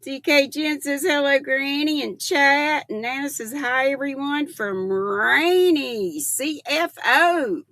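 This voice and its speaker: A woman's voice: high-pitched, slow, hardly any pauses, a bit bright, dry recording. An older woman, hosting a casual livestream and chatting with viewers. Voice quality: gravelly. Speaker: livestream host. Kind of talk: casual chat with live viewers.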